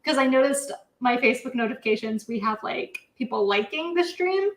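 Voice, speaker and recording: A woman from the American Midwest chats casually on a live stream, her voice sitting a little high. She laughs her way through many sentences. The sound carries a noticeable room echo. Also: expressive, a little fast. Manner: giggly, talks through laughs. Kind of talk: casual chat.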